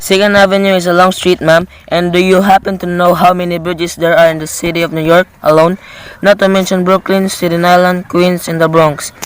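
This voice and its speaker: High-voiced, patronizing police officer acted by a man. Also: level tone, a little fast, clear, resonant tone, no room sound, lightly acted, poor audio. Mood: dismissive. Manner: patronizing, placating, matter-of-fact. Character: unhurried, dismissive New York police desk officer. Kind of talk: amateur radio play acting.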